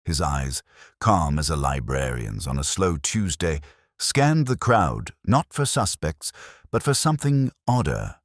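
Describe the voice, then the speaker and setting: A man's voice: rumbling low, very animated, unhurried, dry recording, clean studio sound. A man reading scripted narration of a comic village mystery in a wry, literary storytelling manner.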